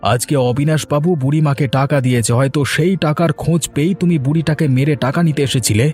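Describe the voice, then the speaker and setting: A man's voice: fairly high pitch, fast talker, a little mellow, faint music behind, lightly acted. A man voicing a stern, suspicious villager in a cartoon, confrontational and accusing. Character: stern, suspicious villager standing guard for others. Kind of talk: cartoon character dialogue.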